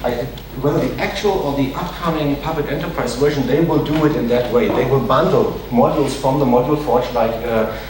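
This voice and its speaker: A man's voice: mid-range voice, brisk, hardly any pauses. A man who is not a native English speaker gives a conference talk and takes audience questions, hesitant with many fillers and restarts. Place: large conference hall. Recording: echoey hall, distant mic, noisy.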